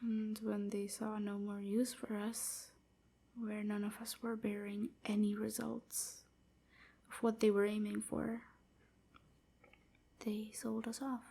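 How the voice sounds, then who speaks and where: A woman playing a sorrowful young enslaved vampire in an intimate audio roleplay, speaking softly and haltingly, often near a whisper. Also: mid-range voice, flat delivery, creaky, slightly rough, heavily acted. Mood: somber, halting. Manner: halting, wistful confiding.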